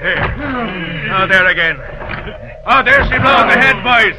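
Steady first mate, respectful to the captain, rousing to the crew, hissy radio-drama recording. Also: male voice, very high-pitched, unhurried. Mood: urging. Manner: respectful to captain, rough urging of rowers.

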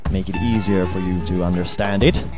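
A young Swedish man with a Swedish accent, hungover, rambling crude thoughts into a home mic in a hesitant, deadpan way. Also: low voice, fairly quick. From Sweden. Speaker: amateur audio ranter. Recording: home recording with buzz and music.

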